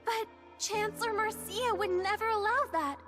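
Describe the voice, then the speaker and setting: A woman voicing an earnest, gentle and brave young girl in a video game, girlish and polite. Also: extremely high pitch, normal speed. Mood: earnest, reassuring. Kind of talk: video game cutscene acting. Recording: faint background music.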